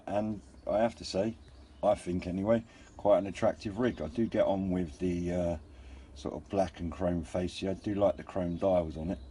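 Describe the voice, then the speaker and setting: A man with a deep, mellow voice talks through a casual hobby review. He is unscripted and understated, hesitating and rambling as he explains. There is a faint layer of noise behind him. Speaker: hobbyist radio reviewer and channel host.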